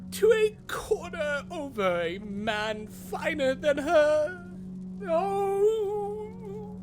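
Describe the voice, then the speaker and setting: A woman playing a sly, mocking schemer in a fantasy audio drama, with theatrical, drawn-out delivery over music. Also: very high voice, exaggerated voice. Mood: persuasive. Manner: theatrical, drawn out, swooping from whispers to cries. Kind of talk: fantasy audio roleplay acting. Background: music behind the voice.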